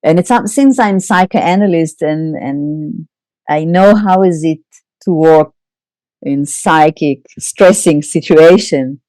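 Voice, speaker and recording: A middle-aged woman with an Israeli accent speaks as an interview guest, recounting events in a halting, candid way with small wry laughs. Her voice sits low and mellow, and the recording is close and dry with no room sound. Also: dry recording, rough recording.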